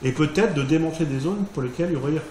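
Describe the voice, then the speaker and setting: A man's voice: fairly high pitch, rapid-fire, darkish tone. A French man giving an informal spoken summary of a group's work, hesitating often with fillers and restarts. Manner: thinking aloud, many fillers and restarts. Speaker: workshop participant presenting group results. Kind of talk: informal presentation. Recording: echoey room, faint background hiss.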